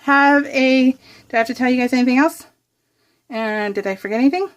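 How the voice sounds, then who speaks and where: A woman's voice: sits high, fairly quick, muffled recording. An American woman chatting casually to viewers while crafting, in a bubbly, giggly, rambling, gushing way. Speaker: craft vlogger hosting a scrapbook process video.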